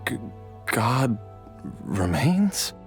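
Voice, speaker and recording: A man playing a confused, ailing father with memory loss in a video game dub, stammering and halting. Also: medium pitch, big pitch swings, soft background music. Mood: bewildered.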